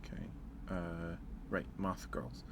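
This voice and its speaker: A man hosting an ASMR livestream in a soft, whispery voice, hushed and unhurried, close to the listener. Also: low voice, noisy background. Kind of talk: ASMR, casual chat to live viewers.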